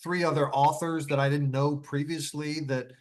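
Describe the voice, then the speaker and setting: Halting man in casual author chat. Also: fairly high pitch, flat delivery. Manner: halting, repeats words, loosely phrased. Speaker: author, podcast guest. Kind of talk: casual panel conversation.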